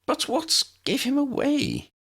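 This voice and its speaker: A small, elderly wizard professor played by a man from a storybook reading. The voice is pitched high and swings eagerly, with kindly, fussy, old-fashioned courtesy. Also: wildly swooping pitch, takes it slowly, a bit bright, exaggerated voice. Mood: astonished, curious.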